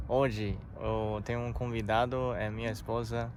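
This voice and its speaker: A young Chinese man with a Chinese accent, hosting a casual chat in halting, non-native phrasing. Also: medium pitch, little pitch movement, darkish tone, slight background noise. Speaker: host. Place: outdoor park.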